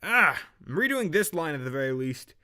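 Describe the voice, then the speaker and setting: A man's voice: fairly high pitch, big pitch swings, normal speed, heavy background noise. A man who voice-acts as an amateur, muttering casual, self-critical asides to himself between takes. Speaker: amateur voice actor recording lines.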